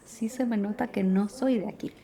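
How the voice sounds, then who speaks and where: A middle-aged woman from Mexico, a guest in a talk show who explains her ideas in a lively, practical way. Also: medium pitch, normal speed, gravelly. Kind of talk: casual conversational interview.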